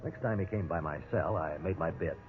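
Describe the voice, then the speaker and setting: A man's voice: low voice, a little fast, lightly acted. An actor playing a wry, defiant man held as a suspect in a 1950s radio drama. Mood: wry narrating. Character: indignant, wrongly accused male prisoner, also narrating. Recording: tinny, noisy 1950s radio recording.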